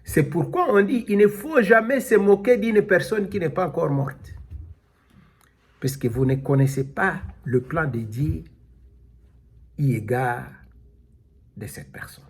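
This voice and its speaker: A man preaching a sermon in a slow, drawn-out, emphatic way, now and then calling out alleluia. His voice sits a little high for a man and has a husky edge. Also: lively intonation. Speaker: pastor.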